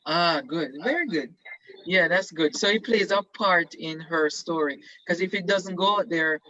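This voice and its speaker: A man teaches a class over a video call with a bright, fairly high voice. He encourages his students and keeps asking them questions, filling pauses with "um". The audio is dry and a bit lo-fi. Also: no echo. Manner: encouraging, questioning, many fillers. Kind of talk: leading an online class discussion.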